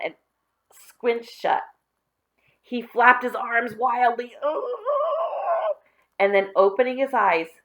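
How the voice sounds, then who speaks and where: Lady reading a kids story out loud, slow and dramatic, very lively pitch. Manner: dramatic, deliberate phrasing. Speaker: read-aloud storyteller. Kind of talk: expressive children's story narration.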